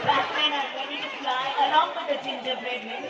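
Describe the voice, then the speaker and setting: A woman announcing winners at a school sports meet over a loudspeaker. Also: sits high, steady tone, lo-fi sound. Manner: slow, deliberate announcing. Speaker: event announcer. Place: outdoor school sports ground. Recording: distant echoey loudspeaker amid crowd noise. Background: crowd and loud noise.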